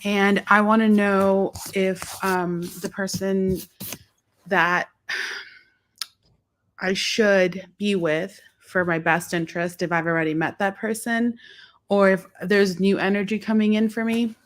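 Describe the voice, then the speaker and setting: A woman's voice: mid-range voice, little pitch movement, takes it slowly. A woman from the audience asking a medium for advice, speaking hesitantly. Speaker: audience member asking for a reading.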